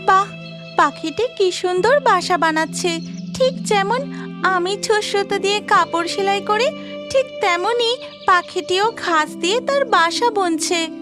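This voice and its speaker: A woman's voice: very high voice, a little slow, music in the background. A woman voicing a cheerful young tailor fairy, polite and full of exclaiming wonder, in a children's cartoon. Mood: delighted wonder.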